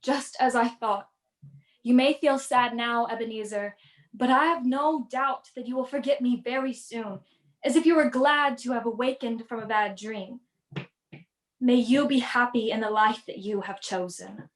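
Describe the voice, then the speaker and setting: A poor young sweetheart, played by a woman, high in pitch, sorrowful and reproachful. She speaks in radio play style, with a strong room echo around her voice. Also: moderate pace, natural voice. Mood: hurt, reproachful. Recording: echoey room over video call.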